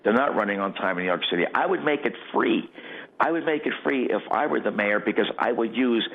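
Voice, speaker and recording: A narrow, phone-like line carries a low-pitched American man arguing politics off the cuff. He is emphatic and rambling, repeating himself for stress as an interview guest. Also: animated, a little fast, gravelly. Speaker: guest, mayoral candidate.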